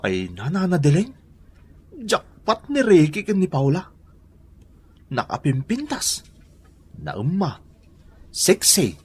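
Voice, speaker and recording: Animated, higher-pitched Ilocano man, cheerful teasing pal. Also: takes it slowly, pauses often, husky, heavily acted. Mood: gossipy, delighted. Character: cheerful, teasing adult male friend of the family. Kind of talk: Filipino radio comedy drama acting.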